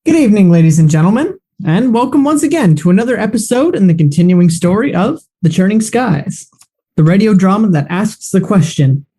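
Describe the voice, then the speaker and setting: A formal, old-time radio announcer with a mellow, warm voice, played by a cast member. Measured and stately, with a polished announcing cadence. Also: medium pitch, close to an everyday voice. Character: 1940s radio director and announcer. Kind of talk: 1940s radio acting. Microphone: video call.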